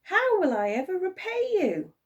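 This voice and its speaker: A woman's voice: extremely high pitch, very animated, moderate pace, distant mic, highs cut off, pitched above the performer's own voice. A woman voicing a sweet, anxious young queen in a children's storybook, exclaiming with feeling. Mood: grateful. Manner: emotional, exclaiming.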